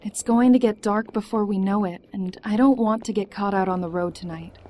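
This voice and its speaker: A woman's voice: medium pitch, a little fast, mellow, a little hiss or hum, close to an everyday voice. A woman acting a practical, no-nonsense caretaker of survivors in an audio drama, stern and blunt. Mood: practical, impatient.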